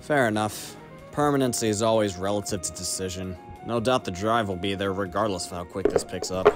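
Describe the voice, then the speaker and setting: A man's voice: fairly low pitch, a little fast, close to an everyday voice. A man playing a wry, secretive mercenary in an audio roleplay, with a dry, laid-back, teasing way of talking. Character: wry, guarded male mercenary, secretive old friend. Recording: music playing behind the voice.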